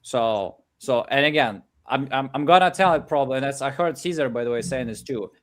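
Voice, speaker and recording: A man with a Ukrainian accent gives an energetic live coaching pep talk over a video call, peppering his English with fillers. His voice sits at an ordinary middle pitch. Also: brisk. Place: home office in Ukraine.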